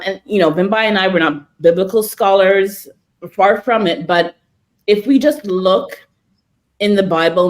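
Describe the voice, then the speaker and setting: A woman co-hosting a faith podcast, speaking earnestly and encouragingly to listeners. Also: lowish voice. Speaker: podcast co-host. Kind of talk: casual faith podcast chat.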